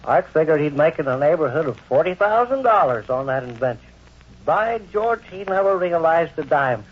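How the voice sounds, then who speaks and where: Folksy old uncle, high-pitched. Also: male voice, sing-song, moderate pace, breathy edge. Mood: earnest, rambling. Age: older. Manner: garrulous storytelling, folksy. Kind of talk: vintage radio comedy acting. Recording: dull old radio recording.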